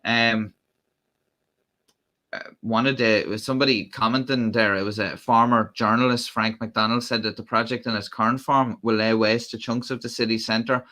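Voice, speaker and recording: An Irish man chats loosely to his livestream viewers, wandering from point to point with plenty of ums and false starts. His voice is bright and forward, sitting at an ordinary middle pitch. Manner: rambling, many fillers and restarts. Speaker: livestream host. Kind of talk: casual live-stream chat.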